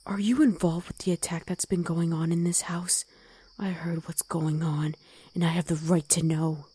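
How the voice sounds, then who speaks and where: A woman voicing a gruff, protective wolf girl ex-soldier in an ASMR roleplay, stern and commanding, often in a hush. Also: on the low side, fairly even intonation, normal speed. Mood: cold, suspicious.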